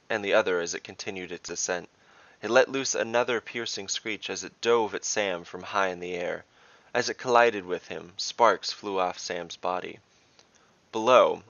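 A man with a fairly low, bright voice narrates and referees a fantasy game. His delivery has a gravelly creak at the edges, and he sounds like the one steering the tale. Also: no echo, highs cut off. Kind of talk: scripted story narration and tabletop game refereeing.

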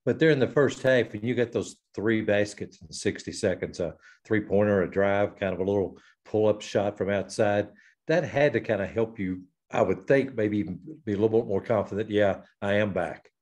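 A low-voiced male reporter asks a long, rambling question at a press conference. He speaks slowly in a lower register and builds up to the point. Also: a little mellow.

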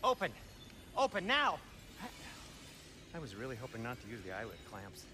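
A man's voice: medium pitch, huge pitch swings, moderate pace. A man playing a fussy, eager, fretful dwarf craftsman in a video game, chatty and prissy. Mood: fussy, urgent.

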